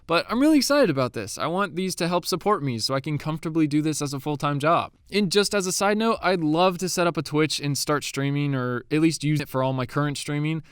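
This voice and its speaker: A man's voice: fairly high pitch, clear, resonant tone, no echo, clean studio sound. A young man, a bit sniffly, giving casual, eager commentary to his viewers as a YouTube creator. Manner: chatty and eager.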